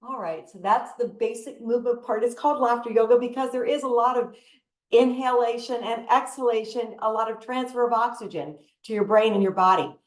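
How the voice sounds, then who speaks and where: A woman guides breathing and arm movements, often breaking into bursts of laughter. Her voice sits on the higher side. She speaks in an echoey room over a video call. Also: a little fast. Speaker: laughter yoga instructor. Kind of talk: guided exercise instruction. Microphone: computer mic on a video call.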